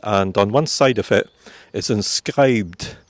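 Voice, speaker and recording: A Scottish tour guide with a low-pitched voice talks visitors through a village churchyard outdoors. He explains the sights at an unhurried pace and fills his pauses with ums and uhs. The sound is dry, with little room tone. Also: dry recording. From Scotland. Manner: informative, unhurried, with frequent fillers. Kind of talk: guided walking tour commentary.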